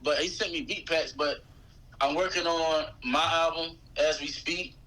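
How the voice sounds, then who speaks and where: A man's voice: a little high, fairly even intonation, a little slow, pushed and bright, poor audio. A man from Philadelphia chatting casually and slangily as a podcast guest. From Philadelphia, United States. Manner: slangy, informal. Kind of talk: casual chat.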